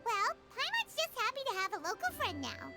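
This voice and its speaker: A woman voicing a tiny, chirpy fairy-like girl companion in a video game, squeaky, childlike and quick to be indignant. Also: huge pitch swings, exaggerated voice. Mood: chirpy, curious. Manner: refers to self in third person, exclamatory. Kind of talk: scripted video game dialogue. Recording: music playing loudly behind.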